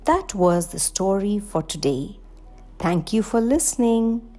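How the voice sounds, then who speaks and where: A woman reading a children's picture book aloud in a deliberate, gentle way, speaking to young listeners as a storyteller. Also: mid-range voice, sing-song, soft background music. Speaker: storyteller, Montessori educator.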